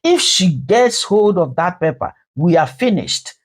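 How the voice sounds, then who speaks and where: Narrator as a threatening male villain, animated. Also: mid-range voice, unhurried. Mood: angry. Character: menacing male villain and his thugs, threatening.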